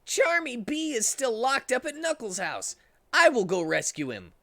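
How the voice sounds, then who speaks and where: A man's voice: very high voice, wildly swooping pitch, normal speed, creaky, bright, forceful tone, voice pushed up, full character voice. A man voicing a confident, reassuring teenage hedgehog hero in a dramatic story reading. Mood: urgent, resolute. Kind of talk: dramatic reading of fan fiction.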